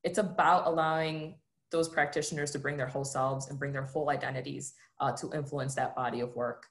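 A woman's voice: low voice, steady tone, a little fast. A woman speaking on a panel over a video call, earnest and reflective, often tagging her points with a questioning "right?" and gesturing with her hands as she talks. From United States.

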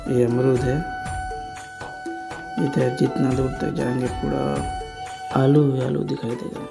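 A man's voice: mid-range voice, little pitch movement, slow pace, vocal fry, music in the background. A man narrating a casual village vlog, pointing things out as he goes. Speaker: village vlogger. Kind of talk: casual vlog narration, showing around a farm.

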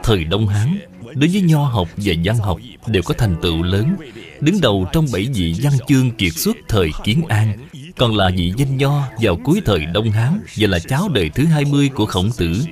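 A man's voice: on the low side, very animated, a little fast, a little mellow. Male cartoon narrator over music. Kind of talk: scripted storybook narration for an educational cartoon. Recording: music under the voice. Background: background music.

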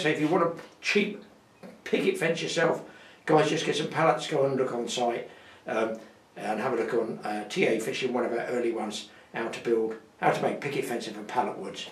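A man's voice: mid-range voice, recorded from a distance. Jokey older man chatting in a roomy cabin. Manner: jokey and animated, chuckling asides. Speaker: fishing channel host. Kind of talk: casual chatty vlog. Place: garden cabin indoors, partly outdoors in the garden.

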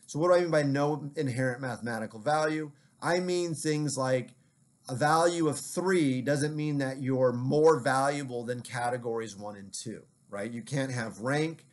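A man's voice: mid-range voice, normal speed. A man giving a recorded statistics lecture over slides, talking in a conversational way with frequent "right?" check-ins and some "um" fillers.

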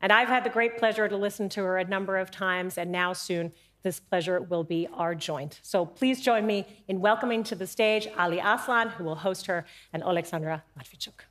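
Woman, forties, stage presenter, formal introduction, mid pitch. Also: normal speed, a bit bright. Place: large conference stage before an audience.

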